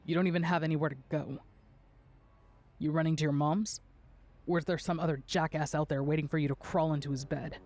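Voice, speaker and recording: A woman's voice: deep voice, fairly even intonation, fairly quick, pauses often, darkish tone, faint music behind, lightly acted. A woman voicing a bitter, spiteful young man, a jilted fiancé, in an audio drama. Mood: accusing.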